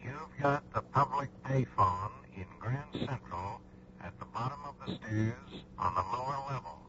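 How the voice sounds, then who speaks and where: An actor playing a cool, terse underground contact, heard through a distant telephone effect in a radio drama. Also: male voice, mid-range voice, measured pace, sharp tone. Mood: cool, businesslike. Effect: telephone filter, distant. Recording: faint, dull, noisy phone-effect radio recording.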